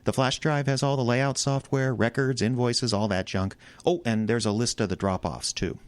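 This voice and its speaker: A man's voice: mid-range voice, steady tone, moderate pace, no echo, natural voice. An actor with an American accent playing a goofy, talkative small-town geek in his thirties, rambling and stammering eagerly in an audio drama. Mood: easygoing, explaining. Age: 30s.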